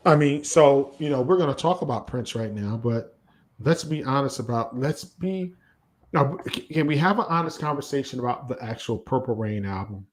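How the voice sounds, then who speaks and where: A man with a mid-pitched, highly animated voice chats casually on a music podcast. He is emphatic, often repeating a phrase to drive it home as his pitch moves up and down. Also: normal speed. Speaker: podcast co-host.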